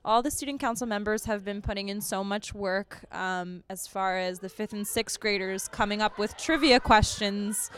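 A woman's voice: medium pitch, moderate pace, few pauses, a bit bright, a little hiss or hum. A woman who advises the student council, enthusiastically describing how game night came together, speaking into a handheld mic in a school hallway. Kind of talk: on-camera interview.

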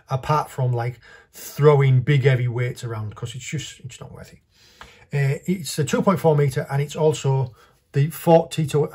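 A middle-aged man with a slightly husky voice, giving an informal, off-the-cuff product review to camera in a small indoor room. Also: mid-range voice, a little mellow. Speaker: fishing vlogger reviewing tackle. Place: small indoor workshop with stone wall.

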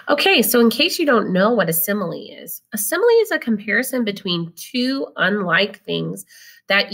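A woman reads to pupils with a bright, lively voice that swings up and down. Her pace is unhurried, and she stresses key phrases. There is some creak in her voice as she moves between reading and explaining. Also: medium pitch. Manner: instructive, deliberate, emphasizing key phrases. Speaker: teacher reading aloud. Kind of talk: picture-book read-aloud with explanatory asides.

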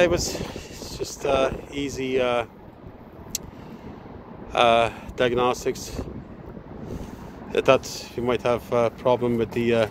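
Hvac guy rambling with lots of uh's on a roof, phone mic, loud wind rumble. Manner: halting, full of "uh" fillers. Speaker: HVAC technician. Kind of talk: casual work video narration. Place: outdoors on a commercial rooftop. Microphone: handheld phone camera.

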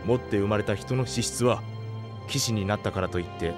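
Actor as respectful royal adviser, deep voice, formal, measured, anime audio drama, music behind. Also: male voice, lightly acted. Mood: composed. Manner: courteous, formal. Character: measured, respectful retainer advising his king.

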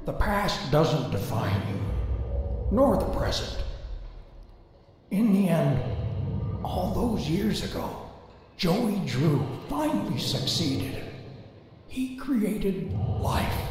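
An actor playing a loving father who pleads gently and heartfelt with his lost daughter. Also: on the high side, background noise. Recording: echoey hall with music.

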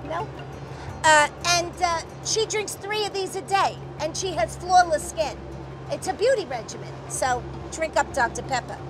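Loud, excitable New woman. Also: very high-pitched, normal speed, noisy background. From New Jersey. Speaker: cooking show host. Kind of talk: reality show chatter to camera. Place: fishing boat on the ocean, outdoors. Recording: music playing loudly under the voice.

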